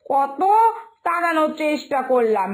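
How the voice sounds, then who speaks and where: A woman plays an exasperated village mother from a cartoon fairytale. The voice is extremely high and shrill, swooping widely as she nags, scolds and wails. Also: lively intonation, unhurried. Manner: shrill scolding, wailing complaints.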